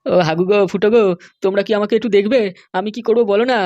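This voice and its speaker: An anxious middle-aged male politician from a comedy cartoon, acted out by a performer, rattles along very quickly in a dry, close sound. He wheedles and complains as he pleads. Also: medium pitch, fairly even intonation, darkish tone, no room sound, muffled recording, close to an everyday voice. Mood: helpless pleading.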